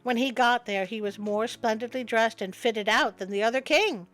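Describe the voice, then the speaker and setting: Female storyteller, high voice, expressive and wry, storybook narration over music. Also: normal speed. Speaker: storyteller, host.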